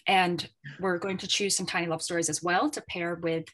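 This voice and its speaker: A woman's voice: fairly low pitch, little pitch movement, normal speed, a bit bright. A woman answering a question on a panel, explaining informally and thinking aloud as she goes. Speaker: editor and panel guest.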